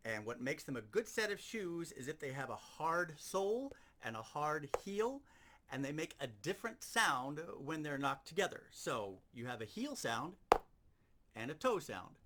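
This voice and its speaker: A man with a fairly high voice that swings widely in pitch, giving a hands-on lesson. He explains each step methodically and circles back to key points. Clear background noise runs through the recording. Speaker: sound effects instructor. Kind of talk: instructional demonstration.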